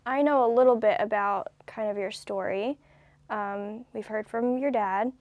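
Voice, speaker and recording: A woman's voice: medium pitch, measured pace, no room sound, lo-fi sound. Podcast host lady, friendly, lots of "kind of", dry close sound. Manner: friendly, asks questions with fillers like "kind of". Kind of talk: casual interview chat.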